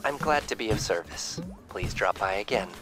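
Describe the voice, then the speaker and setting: An actor playing a polite, curious data-collecting robot in a video game, speaking in a formal, precise way. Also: male voice, on the low side, animated, normal speed, over music, natural voice. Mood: polite, earnest. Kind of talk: video game cutscene dialogue.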